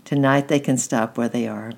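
A woman reading aloud, voicing a kindly farmer father in a children's storybook, relaxed and reassuring. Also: very deep voice, normal speed, gravelly, heavily acted.

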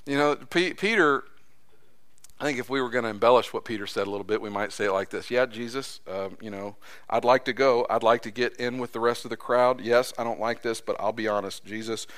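A man preaching a sermon in a church, impassioned, his voice climbing high as he acts out quotes, then dropping low and soft. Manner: impassioned, voice rising high when acting out quotes. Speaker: pastor.

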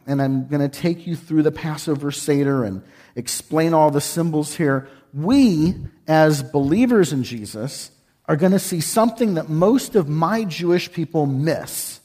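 A man's voice: fairly high pitch, animated, measured pace, a little mellow. A man from Texas, a guest speaker giving a folksy, joking sermon in a church. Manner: folksy, joking, sings with congregation. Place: church sanctuary.

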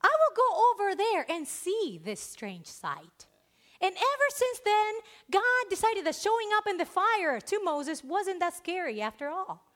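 A woman's voice: very high voice, sing-song, unhurried, fairly bright tone. Woman preacher, Spanish accent, earnest and emphatic, voice rising and falling widely. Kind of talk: sermon.

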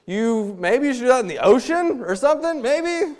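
A man preaching a sermon as a missionary, animated and acting out little scenes with humor. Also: very high-pitched, moderate pace. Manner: animated, acts out quotes with humor.

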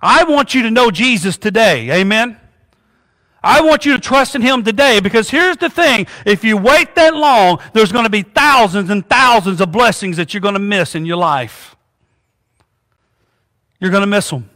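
Bright high-voiced preacher in a reverberant church. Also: male voice, lively intonation, moderate pace. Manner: call-and-response preaching, asks congregation "what?" and "amen?". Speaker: pastor. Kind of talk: sermon with prayer. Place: church sanctuary.

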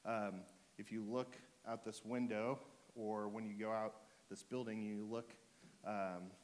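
A man with a sore throat and a fading voice, preaching to his church in a relaxed way, full of ums and restarts. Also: on the low side, measured pace. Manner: joking, relaxed, with fillers and restarts. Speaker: pastor. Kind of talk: sermon.

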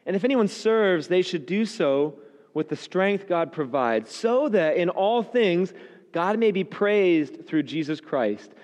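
A man preaching a sermon, his voice raspy and hoarse because he is losing it, speaking in an earnest, emphatic cadence. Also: on the high side, a little mellow, muffled recording. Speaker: pastor. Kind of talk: sermon with scripture reading and prayer. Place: church sanctuary. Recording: long hall reverb.

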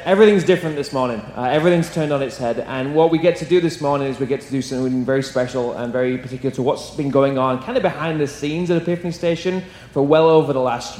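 Brisk pastor in an echoey sanctuary. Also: male voice, a little high, a little hiss or hum. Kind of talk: sermon introduction. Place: church sanctuary. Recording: echoey hall, faint ambience.

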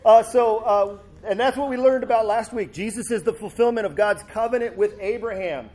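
High-pitched male pastor with a slight cold, easygoing preaching. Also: a little fast. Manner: relaxed, conversational, with fillers and restarts. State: sore throat and a cold. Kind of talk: sermon with scripture reading. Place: church sanctuary.

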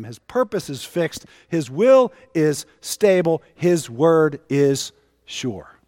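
A man in his fifties delivers a sermon in a slightly high voice. He uses weighty pauses and repeated phrases for emphasis. The mic is close, with a long hall reverb around the voice. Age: 50s. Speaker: preacher. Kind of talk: sermon to a congregation.

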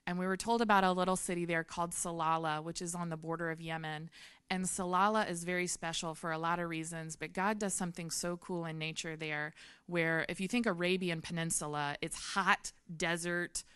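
A woman's voice: lowish voice, steady tone, brisk, fairly bright tone, smooth, dry recording, clean studio sound. A woman, sharing her missionary testimony with a congregation, earnest and laughing often. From Memphis, Tennessee. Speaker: missionary speaker.

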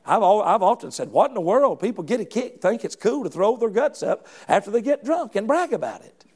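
Fervent gravelly-voiced middle-aged preacher. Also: male voice, sits high, very animated, fairly quick, darkish tone. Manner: fervent, folksy preaching with frequent restarts and calls of "come on". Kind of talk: sermon.

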